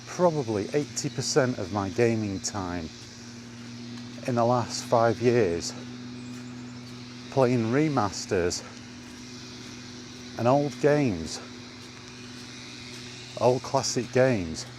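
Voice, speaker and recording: A man's voice: mid-range voice, expressive, very slow, pauses often, noisy background. A man vlogging casually about gaming in a rambling, plain-spoken way while out walking, recorded on a small wireless mic.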